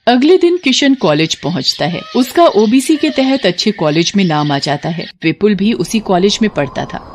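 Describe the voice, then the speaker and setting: A storyteller narrates a scripted moral tale with expressive, varied pitch. Clear vehicle noise runs behind the voice. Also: normal speed.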